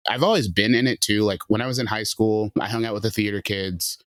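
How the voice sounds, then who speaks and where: Casual guest, man in his late twenties, very low voice, dry close mic, rambling and chatty. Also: fairly even intonation, quick pace, fairly bright tone, dry recording. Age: late 20s. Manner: loose and chatty, many fillers. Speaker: writer and producer. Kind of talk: casual interview chat.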